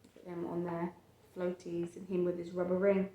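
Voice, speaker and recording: A woman reading a picture book aloud to a child and chatting about it, with expressive, drawn-out emphasis on phrases. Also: lowish voice. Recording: roomy, echoey home recording.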